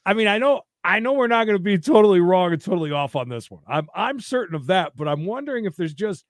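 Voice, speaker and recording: A man's voice: high-pitched, very animated, no echo, clean studio sound. A man co-hosting a court commentary live stream, speaking emphatically and casually and often restarting his sentences. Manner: emphatic, repeats himself, halting restarts.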